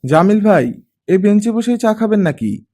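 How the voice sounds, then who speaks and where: A man's voice: high-pitched, sing-song, moderate pace, warm tone, pitched above the performer's own voice. A man voicing a curious, slightly fussy young girl in an audiobook story.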